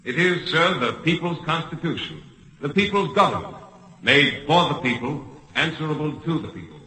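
A man's voice: fairly high pitch, animated, moderate pace, sharp tone, voice pushed up. A ringing statesman in an echoing hall. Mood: solemn, impassioned. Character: grand impassioned statesman orator proclaiming to a hall. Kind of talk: theatrical oratory in old-time radio drama. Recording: echoey hall, long reverb.

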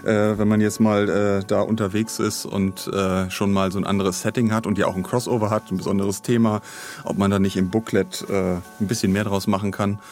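A man's voice: lowish voice, little pitch movement, a little fast, a little mellow, soft background music. A man co-hosting a podcast in casual chat, rambling along with dry humour in a studio. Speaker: podcast co-host. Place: recording studio.

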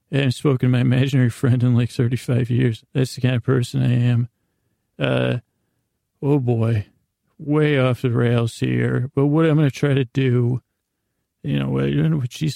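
A weary man with a heavily creaky, dark voice talks listeners toward sleep in a close, dry recording. His delivery is relaxed and little varied in pitch, wandering through self-deprecating asides. He stops often for long, pondering pauses. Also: dry recording. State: tired, worn down. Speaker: podcast host and bedtime storyteller. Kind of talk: rambling, meandering bedtime podcast monologue.

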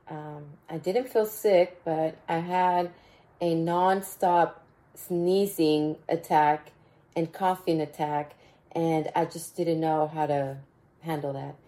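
A woman with a raspy voice hosting a motivational podcast, talking casually and mentoring her listeners, often repeating phrases. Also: fairly low pitch. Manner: warm mentoring tone, repeats phrases, bursts of laughter. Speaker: podcast host.

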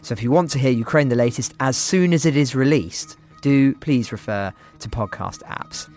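Male presenter, medium pitch, scripted sign-off read above a light music bed. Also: normal speed, a little mellow. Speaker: podcast host. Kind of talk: scripted outro narration.

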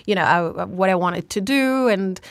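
A woman's voice: medium pitch, normal speed, no echo, studio quality. A woman in her thirties with a Lebanese accent, speaking as an interview guest, reflective and full of restarts. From Algeria. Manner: reflective, many fillers and restarts. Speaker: guest, fashion founder. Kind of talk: conversational interview.

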